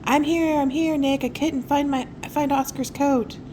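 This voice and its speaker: A woman's voice: sits high, fairly even intonation, a little slow, dark tone, slight background noise, pitched above the performer's own voice. A woman voicing a flustered man in a hurry, repeating himself, in a children's storybook. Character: Lars, flustered, hurried male guest.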